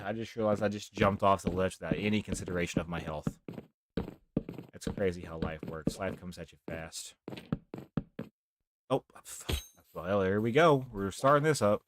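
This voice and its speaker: A young man with a deep voice, rambling softly through casual live gameplay commentary as a streamer. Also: normal speed, background noise. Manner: rambling, talks softly, near whispering.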